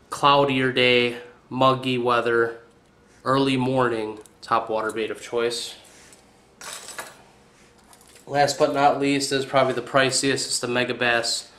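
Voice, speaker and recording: A young man hosting a casual fishing video indoors, talking in a relaxed, hesitant way with frequent fillers, recorded on a camera mic at a counter. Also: mid-range voice. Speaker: fishing channel host. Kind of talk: casual tackle review. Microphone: camera mic on tripod.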